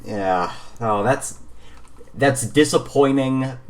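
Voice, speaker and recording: A man co-hosting a podcast in casual gaming chat, informal and somewhat halting as he corrects himself. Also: mid-range voice. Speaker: podcast co-host.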